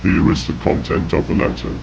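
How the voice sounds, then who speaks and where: A man reading scripted horror narration in a grave, measured way, with a gravelly, whispery voice. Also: a little mellow. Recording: dull, noisy lo-fi audio with rumble.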